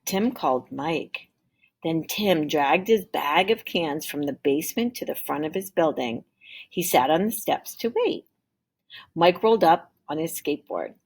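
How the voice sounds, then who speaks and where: A woman reading a children's picture book aloud like a teacher, with deliberate, expressive emphasis. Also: low-pitched, breathy edge. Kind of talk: expressive storybook read-aloud.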